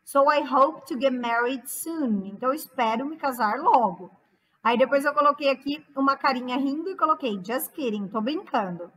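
A Brazilian woman in her thirties with a high, bright voice that has a slightly husky grain. She teaches English online in an informal, chatty way, repeating phrases emphatically and translating each line as she goes. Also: low-quality recording. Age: 30s. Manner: chatty, instructive, emphatic repetition.

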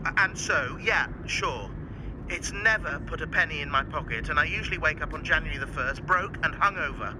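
A man acting an earnest, cheerful nephew in an audio drama. The voice is high for a man and lively in pitch, pleading, with clear street and car noise behind. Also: brisk, natural voice. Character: cheerful, earnest nephew who loves Christmas.

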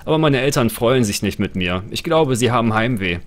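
A man's voice: medium pitch, a little fast. A young man playing a game on his computer, reading its diary entries aloud and making short remarks. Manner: calm, plain reading with short asides. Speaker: lets-play host.

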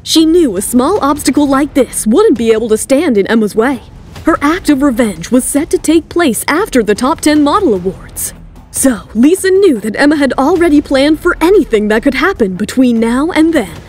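A woman's voice: sits high, lively intonation, normal speed, darkish tone. A woman reading scripted, dramatic third-person narration for an audio drama. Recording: music bed under the voice. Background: background music.

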